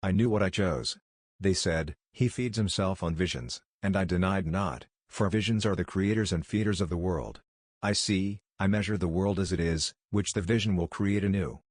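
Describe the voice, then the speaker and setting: A man's voice: deep voice, normal speed, a little mellow, no echo, clean studio sound. A man reading as a frail fervent visionary scholar. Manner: fervent, solemn, archaic phrasing.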